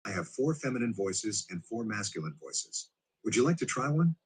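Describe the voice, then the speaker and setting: A male-voiced smart speaker assistant speaking in polite, even, synthetic phrasing. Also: on the low side, normal speed, husky. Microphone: played from a smart speaker into the room.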